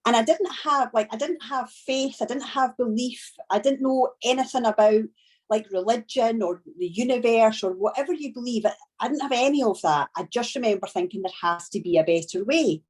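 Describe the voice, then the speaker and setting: A woman from Scotland with a strong Scottish accent, giving a training talk on a video call and telling her story slowly and deliberately. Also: a little high, a little mellow. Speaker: business trainer.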